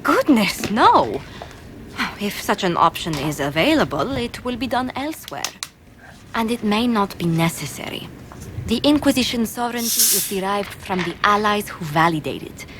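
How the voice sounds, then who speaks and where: An actress plays a poised female court ambassador in a video game. She is formal, precise and diplomatic at a middle pitch. There is faint noise behind her. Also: sing-song, normal speed, lightly acted. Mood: measured.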